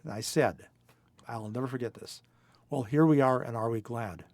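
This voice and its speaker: Lively anecdote-telling man in his sixties. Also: medium pitch, normal speed, no echo, faint music behind. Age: 60s. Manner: lively anecdotal storytelling with laughs. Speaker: interviewee. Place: recording booth.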